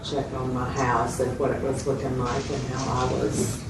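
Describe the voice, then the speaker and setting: A woman's voice: extremely low pitch, monotone, moderate pace. A woman playing a candid psychiatric patient, talking hesitantly and plainly in short phrases. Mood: candid, subdued. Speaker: mental health patient in a consultation. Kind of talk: role-played clinical interview, conversational. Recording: distant mic, roomy echo, loud background noise.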